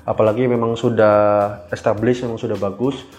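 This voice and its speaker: A man, answering casually as a podcast guest, hesitant and full of filled pauses. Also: mid-range voice, flat delivery, a little mellow. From Indonesia. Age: 20s. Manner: hesitant, halting delivery with frequent filled pauses. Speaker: guest cyber security engineer.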